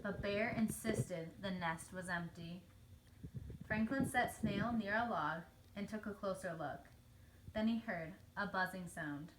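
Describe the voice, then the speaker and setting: A woman reading a children's story aloud as narrator, telling it clearly for young children. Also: medium pitch, unhurried, heavy background noise. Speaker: storybook reader.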